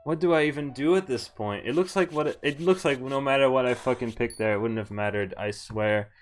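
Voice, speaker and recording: A man's voice: medium pitch, fairly quick. Casual male game streamer, faint music behind. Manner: casual, sweary. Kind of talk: reading visual novel text aloud with casual commentary.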